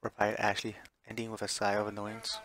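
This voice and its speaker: A man's voice: on the low side, monotone, brisk, fairly bright tone. A man streaming a visual novel, chatting casually as he plays and reading the text aloud, sometimes stumbling and correcting himself.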